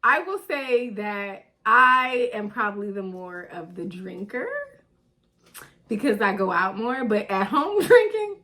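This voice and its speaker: A woman in her late thirties co-hosts a relaxed book chat. She talks playfully, and her pitch swings up and down a lot. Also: takes it slowly. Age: 30s. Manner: playful, expressive, laughing. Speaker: podcast co-host. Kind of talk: casual podcast chat.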